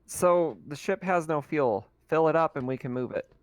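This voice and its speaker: A man's voice: fairly high pitch, moderate pace, no echo, close to an everyday voice. Man as Mara, practical spacecraft hacker. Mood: matter-of-fact.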